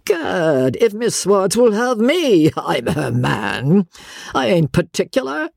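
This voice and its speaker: A man puts on a wealthy old merchant with a coarse, bullying bluster, his pitch leaping high and low. The voice carries a gravelly, husky edge, set in a dry, close audiobook sound. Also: huge pitch swings, slow pace, dry recording, full character voice.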